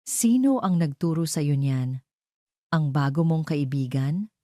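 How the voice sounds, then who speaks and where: A woman's voice: low-pitched, animated, measured pace, warm tone, dry recording, studio quality. Woman voicing a cold, menacing old matriarch. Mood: cold menace. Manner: imperious, threatening, impatient.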